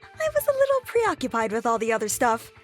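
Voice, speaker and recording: Woman acting high, animated, morbidly eager young sleuth. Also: brisk, soft background music. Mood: morbidly delighted. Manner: gleeful, theatrical relish. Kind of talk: audio drama acting.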